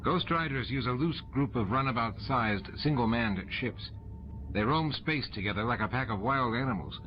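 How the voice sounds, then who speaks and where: A man reading an audiobook, voicing a practical male chief engineer with a brisk, technical delivery. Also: mid-range voice, expressive, dull audio, faint music behind, natural voice. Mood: explaining.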